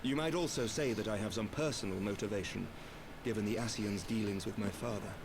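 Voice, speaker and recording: An actor playing a formal, courteous and dutiful knight commander in a fantasy game, speaking in a measured way. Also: male voice, fairly low pitch, creaky. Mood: earnest, resolute. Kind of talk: fantasy game cutscene acting. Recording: game audio under loud ambient noise.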